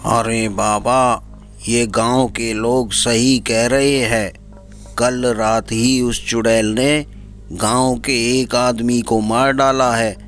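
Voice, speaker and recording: A man's voice: mid-range voice, steady tone, slow pace, a bit bright, faint music behind. A man voicing a worried, earnest village headman in a cartoon. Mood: pleading. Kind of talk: Hindi cartoon character dialogue.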